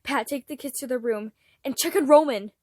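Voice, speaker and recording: A woman's voice: high voice, brisk, darkish tone, dry recording, studio quality. A woman voicing a caring, earnest man from a romantic story, speaking directly. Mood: panicked. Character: caring, earnest man, tender but commanding in crisis.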